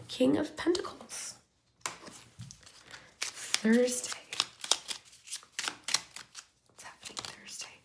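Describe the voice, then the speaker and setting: A woman giving a chatty tarot reading straight to her viewers while losing her voice, sounding hoarse and sometimes sinking to a whisper. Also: high-pitched, a little hiss or hum. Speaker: tarot reader. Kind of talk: pick-a-card tarot reading to camera.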